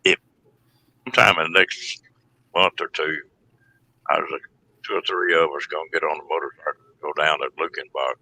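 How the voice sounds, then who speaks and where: Texan man, folksy storyteller, gravelly with a forceful, very bright edge. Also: a bass voice, fairly even intonation, normal speed, long pauses, no room sound, low-quality recording. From Texas, United States. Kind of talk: casual group chat, storytelling.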